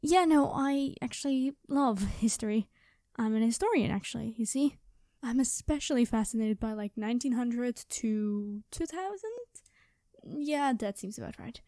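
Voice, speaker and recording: A woman's voice: a little high, lively intonation, normal speed, dark tone, no echo, clean studio sound. A woman playing a quirky, chatty time traveler from the future, rambling and flustered, in an ASMR roleplay. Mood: cheery, chatty. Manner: rambling, flustered, hesitant, cheery. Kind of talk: ASMR storytelling roleplay, one-sided conversation.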